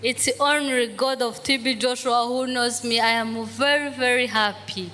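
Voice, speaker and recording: A woman interpreting her husband's testimony into simple, halting English in a large church hall. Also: fairly high pitch, steady tone, sharp tone. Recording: echoey hall with faint noise.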